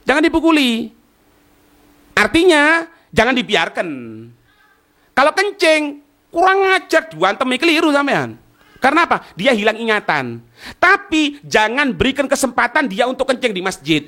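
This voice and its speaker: Male Islamic teacher, East Javanese accent, high-pitched with big melodic swings, informal question-and-answer lecturing. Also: very animated, moderate pace, long pauses, sharp tone. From East Java, Indonesia. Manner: asks and answers own questions, checks listeners understand. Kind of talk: informal religious lecture explaining a text line by line.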